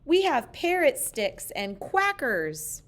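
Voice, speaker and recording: A woman reader voicing a silly, cheerful lady schoolteacher in a children's storybook, announcing things in a bright sing-song manner. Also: high-pitched, big pitch swings, slow, voice pushed up, exaggerated voice. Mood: playful, sing-song.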